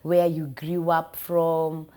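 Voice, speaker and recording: A Kenyan woman hosts a relaxed interview show. She talks in a friendly, meandering way, repeats words and slips between English and Swahili. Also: low voice, slow, clean tone. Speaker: talk show host. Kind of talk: casual interview chat.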